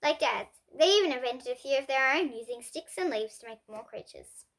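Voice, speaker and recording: A young girl reading a children's bedtime story aloud in an expressive way. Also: high voice, moderate pace. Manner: expressive, with emphatic character dialogue.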